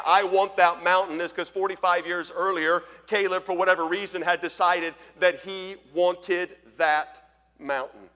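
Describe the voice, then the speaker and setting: A man's voice: high voice, long reverb tail. A pastor in his forties from Colorado preaching a conversational, storytelling sermon. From Colorado, USA. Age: 40s. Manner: storytelling, conversational, swings from loud to hushed. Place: church.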